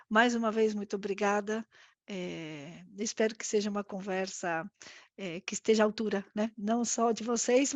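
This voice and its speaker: A woman in her fifties with an Argentine accent and Spanish as her native language, giving a conversational, reflective interview answer as a guest, often pausing to search for words. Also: on the high side, dry recording. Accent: Argentine accent in Brazilian Portuguese. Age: 50s. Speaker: interview guest, risk management executive.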